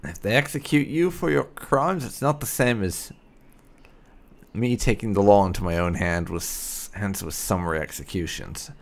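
Low, gravelly man with wry asides. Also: normal speed. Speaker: gamer, host of a roleplay playthrough. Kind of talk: casual game commentary with in-character musing.

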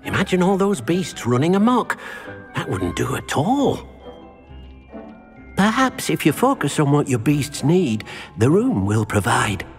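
An actor playing a gentle, earnest, small elderly house-elf servant in a video game, who speaks of himself in the third person. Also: male voice, a little high, huge pitch swings, a little slow, faint music behind. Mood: thoughtful. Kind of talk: scripted video game character acting.

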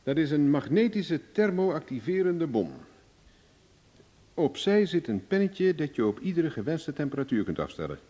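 A self-assured private investigator in an old radio drama. His voice is mellow and middle-pitched, with animated, wry phrasing. The recording carries a long reverberant tail. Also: normal speed, highs cut off. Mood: confident. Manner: glib, ironic, self-assured. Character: cocky, cool-headed male private investigator, wry and bargaining. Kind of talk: Dutch radio drama acting.

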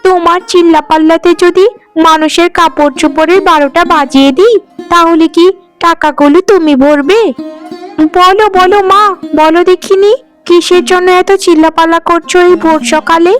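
A woman voicing an irritable, sharp-tongued young seamstress daughter in a cartoon, scolding and complaining. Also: very high-pitched, fairly even intonation, normal speed. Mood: irritated, scolding. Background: faint music.

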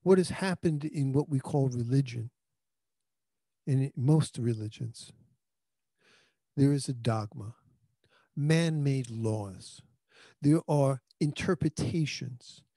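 New guy in his 50s giving a sermon, warm relaxed tone, handheld mic, talks like a conversation and restarts a lot. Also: medium pitch, takes it slowly, pauses often, no echo. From New York. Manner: earnest conversational preaching with frequent restarts. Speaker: pastor. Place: small indoor room. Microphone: handheld microphone.

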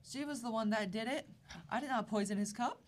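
A man voicing a greedy young daughter of a mafia boss in a high, whiny voice. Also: a little fast.

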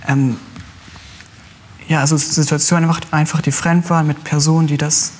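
Young guy telling his story on a talk show, bit hesitant, pretty flat intonation, echoey studio. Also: on the high side, moderate pace, slight background noise. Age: young adult. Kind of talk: recounting personal experience in an interview. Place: large TV studio. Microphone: lapel mic.

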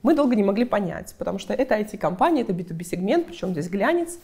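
A woman PR agency head giving interview answers, brisk and talkative, explaining through client examples. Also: mid-range voice, very animated, warm tone, slightly rough. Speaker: PR agency head, guest expert.

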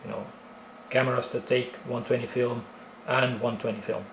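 A man's voice: medium pitch, level tone, normal speed, creaky, fairly bright tone, roomy sound, slight background noise, rough recording. A man with an Estonian accent casually explaining film cameras in a tutorial, with frequent fillers and restarts. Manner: talks with many fillers and restarts, explaining step by step. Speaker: film photography hobbyist presenter.

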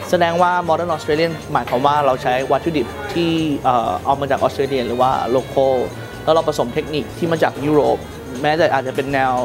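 Man, 30s, from Thailand, executive chef, relaxed measured talk, kitchen, lapel mic, music underneath. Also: mid-range voice. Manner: relaxed, mixes English terms, measured. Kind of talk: to-camera introduction. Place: restaurant kitchen indoors.

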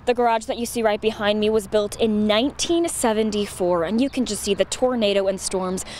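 A woman's voice: mid-range voice, steady tone, fairly quick, darkish tone, a little hiss or hum. A young woman reporter on location outdoors with a handheld mic, walking the viewer through the scene. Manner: explanatory, guiding the viewer. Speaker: field reporter. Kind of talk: live stand-up report. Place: outdoors at a damaged property.

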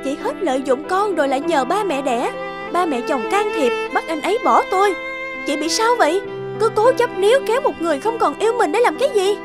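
Brazen, high-voiced young mistress. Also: female voice, expressive, a little fast, over loud music. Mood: scornful. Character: brazen, defiant young mistress of a married man. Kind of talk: cartoon drama acting.

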